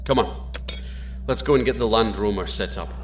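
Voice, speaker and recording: An actor playing a steady, easygoing astronaut captain with a Scottish accent, wry and reassuring in a sci-fi audio drama. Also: male voice, on the low side, moderate pace, background noise, close to an everyday voice. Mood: wry, relaxed.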